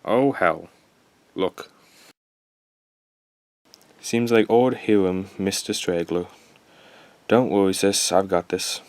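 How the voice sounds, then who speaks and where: A man playing a possessive, soothing rich young twin brother in an audio drama, calm and languid. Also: deep voice, slow, pauses often, no echo.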